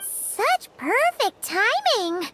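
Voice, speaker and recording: A woman voicing a tiny, excitable, childlike floating fairy companion in a video game, squeaky and inquisitive. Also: very slow, background noise, heavily acted. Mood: excited.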